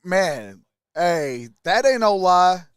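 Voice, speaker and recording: Sports stream host, male. High, clean voice, unhurried delivery, casual play-by-play and chat replies. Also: sing-song, clean tone, no room sound, clean studio sound. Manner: casual, reads viewer comments aloud.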